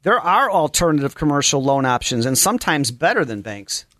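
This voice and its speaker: Bright, high-pitched male radio host. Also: big pitch swings, fairly quick, no echo, clean studio sound. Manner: effusive, exclamatory, promotional patter. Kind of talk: live radio talk show hosting. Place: radio studio.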